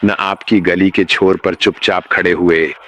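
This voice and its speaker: A man playing a grave, menacing emergency announcer in a scripted horror broadcast, speaking in a measured way. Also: sits low, little pitch movement, a bit bright, slight background noise. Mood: grave, ominous. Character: ominous male emergency broadcast announcer warning of uncanny teachers. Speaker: narrator.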